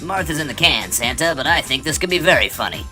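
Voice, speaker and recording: A woman as a very low-voiced elf professor. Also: animated, fast talker, pushed and bright, soft background music. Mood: deadpan. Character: matter-of-fact elf inventor professor. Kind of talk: comic audio drama.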